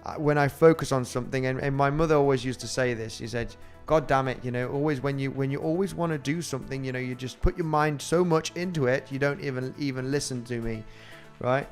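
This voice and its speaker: A man's voice: mid-range voice, brisk, hardly any pauses, soft background music. Chatty Spanish-accented man. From Spain. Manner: rambling and chatty, with frequent fillers and laughs. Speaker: crypto trading host. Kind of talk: casual livestream chat reading viewer comments.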